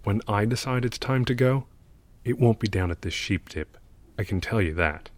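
A man's voice: on the low side, animated, moderate pace, no echo. A man playing a gloomy, cynical old mural painter in an audio drama, speaking in a sardonic, world-weary manner. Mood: bitter, gloomy. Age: older.

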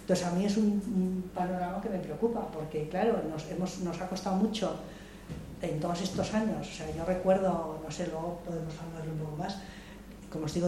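A woman's voice: sits low, mellow. An older woman from Madrid speaking at a public debate, reflective and hesitant, with many restarts and repeated words. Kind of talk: spontaneous political talk at a public debate. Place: large hall, public debate session. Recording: echoey room, voice from far off, faint noise.

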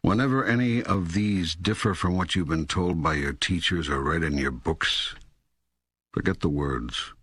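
An older man playing a patient, all-knowing God in an audiobook dialogue, speaking in a deliberate, emphatic, teaching manner. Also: sits low, fairly bright tone, breathy edge, no echo, studio quality, exaggerated voice. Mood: firm, certain.

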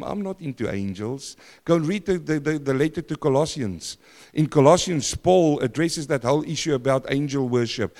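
Loud, emphatic preacher in a reverberant hall. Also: male voice, fairly high pitch. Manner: loud, emphatic, swings from shouting to hushed. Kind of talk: sermon. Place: church.

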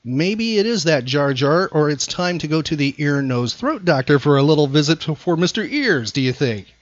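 A man with a fairly high, very animated voice hosts casual trivia banter. He teases with mock disbelief, close and dry on slightly muffled audio. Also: moderate pace, no room sound. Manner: teasing, mock-incredulous. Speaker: trivia podcast host.

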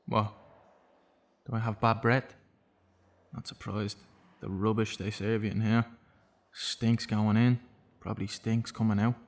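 A man's voice: lowish voice, flat delivery, measured pace, pauses often. An actor playing a guarded, sardonic young man in prison, evasive and sarcastic, in a naturalistic audio drama. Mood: dry, sardonic.

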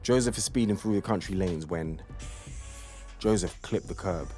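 A man's voice: sits low, normal speed, dark tone, natural voice. A man narrating a modern Christmas audio play as a scripted storyteller. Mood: measured storytelling. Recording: music bed under the narration.

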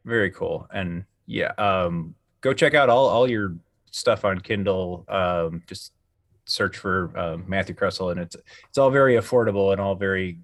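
Low-voiced man chatting casually, dry sound. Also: normal speed, no room sound. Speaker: podcast host. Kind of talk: casual chat.

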